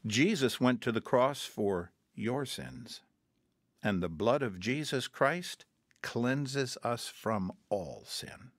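An actor playing a patient mission counselor in a radio drama, talking calmly and earnestly and quoting scripture. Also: male voice, medium pitch, slow, dry recording, studio quality. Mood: gentle. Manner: calm, earnest, quotes scripture.

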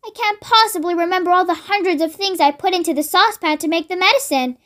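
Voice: extremely high pitch, quick pace, a bit bright. A child voicing an earnest, polite young farm boy in a storybook, questioning and pleading.

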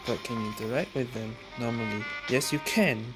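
Low-pitched woman commenting on a game. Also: fairly quick, over loud music. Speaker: game streamer. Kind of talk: casual gameplay commentary.

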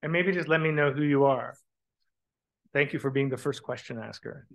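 A middle-aged man giving a conference keynote from a podium in a lecture room, thoughtful and halting, restarting his phrases. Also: mid-range voice, gravelly, fairly bright tone. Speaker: keynote speaker. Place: lecture room with large windows. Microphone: podium microphone.

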